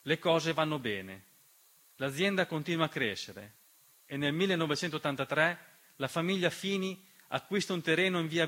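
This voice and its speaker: A man's voice: fairly high pitch, bright, forceful tone. A man delivering an emphatic, dramatic theatrical monologue as narrator, with rhetorical questions and refrains. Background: piano accompaniment.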